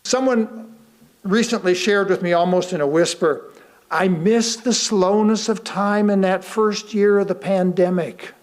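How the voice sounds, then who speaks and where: A man reading a sermon aloud to a congregation, deliberately, pausing between short phrases. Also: high-pitched, fairly even intonation. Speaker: guest speaker reading a sermon.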